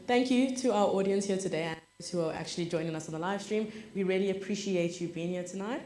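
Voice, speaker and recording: Quick, upbeat woman moderating. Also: mid-range voice, dark tone. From South Africa. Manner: upbeat and grateful. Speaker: panel moderator. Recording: echoey venue.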